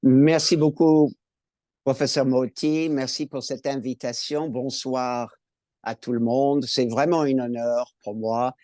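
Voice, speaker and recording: A man in his seventies from California with an American accent, talking slowly and warmly as a patient guest in a webinar interview. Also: medium pitch, steady tone, no room sound, studio quality. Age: 70s.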